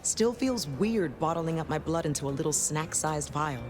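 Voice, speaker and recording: A woman's voice: sits low, expressive, normal speed, music in the background. An actress voicing a tough, cocky female vampire enforcer in a video game, brash and streetwise. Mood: grudging.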